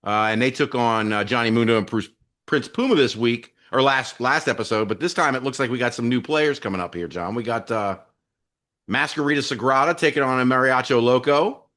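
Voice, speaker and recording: A man's voice: medium pitch, fairly quick, a bit bright. A man co-hosting a wrestling podcast in casual, chummy chat with some mild swearing. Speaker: podcast co-host.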